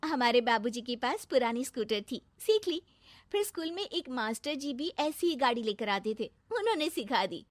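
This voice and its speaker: A woman's voice: a little high, lively intonation, moderate pace, dry recording, natural voice. A woman voicing a spirited young village daughter-in-law who answers back playfully. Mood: cheeky. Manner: cheeky, bright and playful.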